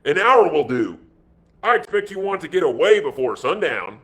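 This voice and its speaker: A man's voice: on the high side, very animated, moderate pace, fairly bright tone, voice pushed up. Man acting a sly, insolent gangster heavy. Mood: slyly friendly. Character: big male American gangster, insolent, sly and guarded.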